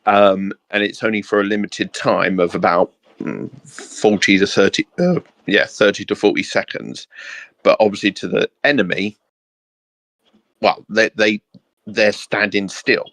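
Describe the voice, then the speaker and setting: A man with a fairly low voice talks casually as a co-host on a geek discussion. His recording is dry, with little sense of a room. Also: fairly even intonation, measured pace, a bit bright, no room sound. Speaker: channel co-host.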